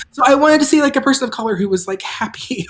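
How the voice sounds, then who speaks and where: A show creator hosting a live stream, talking casually and haltingly about making the show, with offhand asides. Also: sits high, a little hiss or hum. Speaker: show creator and table read host.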